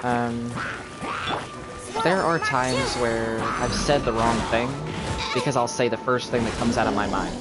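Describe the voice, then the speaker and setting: A man's voice: mid-range voice, a little slow, loud music behind the voice. A man livestreaming a game, chatting casually in a rambling, self-deprecating way. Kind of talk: casual chat while gaming on a livestream. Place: voice over game music on a livestream.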